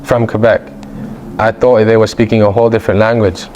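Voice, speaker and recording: A man with a fairly low voice and an international British accent, talking as a guest in a relaxed interview. He speaks in loose, easygoing run-on phrases, and a faint hiss sits under his voice. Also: fairly quick. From Belgium. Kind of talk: casual interview chat.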